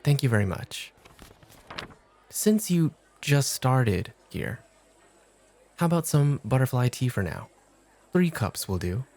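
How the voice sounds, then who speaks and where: A man playing a cool, exacting off-duty club host who teases and challenges in a measured way, in an ASMR roleplay. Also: medium pitch, big pitch swings, darkish tone. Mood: polite, cool. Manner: challenging, measured, coolly teasing.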